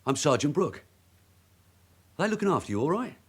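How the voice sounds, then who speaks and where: Shrewd veteran detective sergeant, lively pitch. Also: male voice, a little fast, slightly rough. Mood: wry, probing. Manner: dryly sardonic, probing questions. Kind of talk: radio drama acting.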